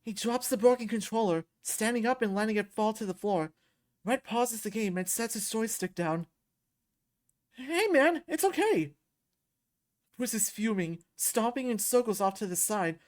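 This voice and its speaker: A woman reading a visual novel aloud as expressive first-person story narration. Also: mid-range voice, moderate pace.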